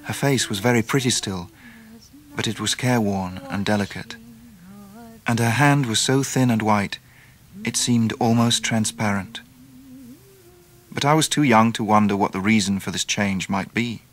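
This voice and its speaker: A man plays a grown man looking back on childhood in a radio drama. His voice is on the low side. The scripted narration is gentle, tender and reflective. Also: moderate pace, long pauses, close to an everyday voice. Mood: wistful.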